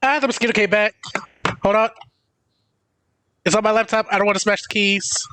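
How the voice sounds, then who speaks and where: A man's voice: sits high, steady tone, brisk, bright, forceful tone, clean tone, no echo. A man giving casual, wry, grumbling commentary as he livestreams a game. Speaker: game streamer. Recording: game music behind.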